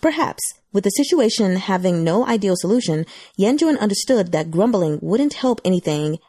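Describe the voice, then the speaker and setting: A woman's voice: mid-range voice, expressive, normal speed, warm tone, no room sound, clean studio sound. A woman reading scripted fiction as an audiobook narrator.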